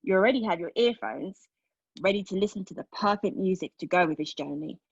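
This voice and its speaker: Woman acting a reflective, wounded woman, husky voice, intimate stage monologue, deliberate pauses, dry muffled recording. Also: medium pitch, lively intonation, no echo. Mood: excited, absorbed. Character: wounded, reflective woman recounting lost love and travel. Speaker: actor in a play table read. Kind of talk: dramatic monologue reading.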